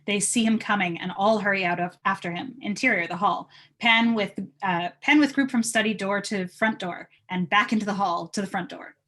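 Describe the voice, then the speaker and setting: A woman's voice: mid-range voice, normal speed, a bit bright. A woman reading out stage directions as scripted narration at a live table read.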